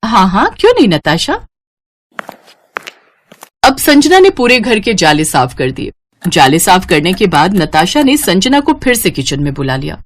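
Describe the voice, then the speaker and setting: A woman's voice: on the low side, very animated, moderate pace. A woman storyteller reading a scripted tale.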